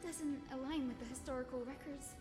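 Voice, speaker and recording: A woman voicing an earnest, gentle young heroine in a video game, speaking politely and formally with resolve. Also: sits high, fairly even intonation, brisk, natural voice. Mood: resolute. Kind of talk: dramatic video game voice acting. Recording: echoing game audio with music.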